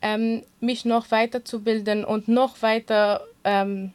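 A woman with a Kurdish accent, Kurdish her first language, answering interview questions in an earnest, halting way with many fillers. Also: a little high, little pitch movement, clean tone. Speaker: interview guest, swimming instructor.

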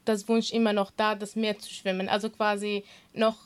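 A woman's voice: mid-range voice, flat delivery, normal speed, clear, resonant tone. A young woman whose first language is Kurdish, a swimming instructor answering interview questions earnestly, with many fillers and restarts.

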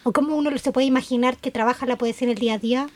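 A woman's voice: sits high, fairly even intonation, rapid-fire, a little mellow. A woman from Chile with a Chilean Spanish accent who hosts a casual conversation and asks curious follow-up questions. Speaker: host.

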